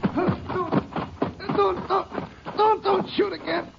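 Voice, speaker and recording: An actor playing a surly, jumpy outlaw ranch hand with an American Western accent and a gruff, excitable manner, in 1950s radio western style. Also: male voice, very high-pitched, very slow, exaggerated voice. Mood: panicked. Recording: muffled, very noisy 1950s radio recording.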